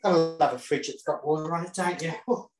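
A man's voice: fairly high pitch, quick pace, over music. A man presenting a casual live cooking demonstration in a home kitchen, camp and chatty with cheeky asides. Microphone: self-set camera. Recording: roomy kitchen echo.

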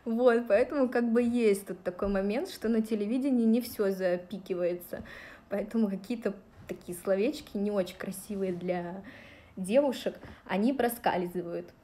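A woman in her thirties from Russia talks straight to her viewers during a livestream. Her delivery is chatty and direct, peppered with fillers, and her pitch sits in a middle range for a woman. She is recorded on a phone held at arm's length in a small indoor room. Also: moderate pace, warm tone. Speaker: vlogger answering viewer questions. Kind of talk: casual livestream talk.

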